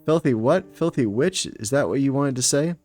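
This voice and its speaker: A man's voice: medium pitch, expressive, normal speed, a little mellow, soft background music, close to an everyday voice. A man voicing a defiant, hot-tempered young woman in a fantasy story game, with an indignant, confrontational manner. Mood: angry, defiant. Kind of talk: reading a story game aloud.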